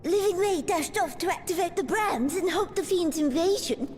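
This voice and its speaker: A woman voicing a small, high-strung rabbit-like creature in a video game, with a flustered, dramatic manner. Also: normal speed, a little mellow, slight background noise. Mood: flustered, anxious. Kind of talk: video game cutscene acting.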